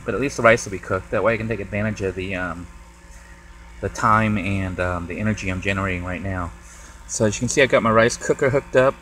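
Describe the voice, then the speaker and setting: A man filming a casual vlog from the cab of his van while he drives, talking in a relaxed, rambling way with many ums. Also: lowish voice, rough recording. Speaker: van-dwelling vlogger. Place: inside a moving van, cab area. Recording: faint road noise in a car cab. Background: vehicle road noise.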